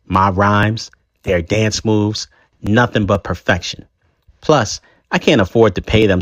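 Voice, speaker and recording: A man reading a first-person chapter book aloud in a conversational, expressive way. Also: deep voice, no room sound. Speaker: storybook reader.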